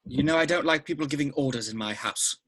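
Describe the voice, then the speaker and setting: A man voices a protective wizard godfather in a fantasy script read. The tone is forceful and sharp with a husky edge, confrontational and defiant, standing his ground. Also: mid-range voice, fast, close to an everyday voice. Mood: firm defiance. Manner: confrontational, firm.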